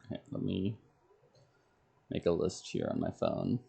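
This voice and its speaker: A man streaming a game, talking to himself in halting, terse fragments. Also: sits low, wildly swooping pitch, vocal fry, warm tone, slightly rough. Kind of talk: muttering item names while making a list.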